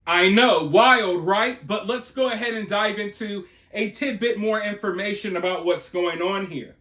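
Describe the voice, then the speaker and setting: Male online news commentator, high-pitched, emphatic delivery with dramatic phrasing, recorded in an echoey room. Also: a little slow, pushed and bright. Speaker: host of a news commentary video channel.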